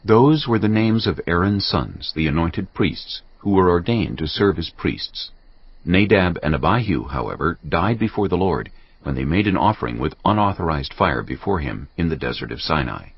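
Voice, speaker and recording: A man narrating dramatised scripture from a script in a solemn, measured manner. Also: low-pitched, lively intonation. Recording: slightly dull audio under clear music. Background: music.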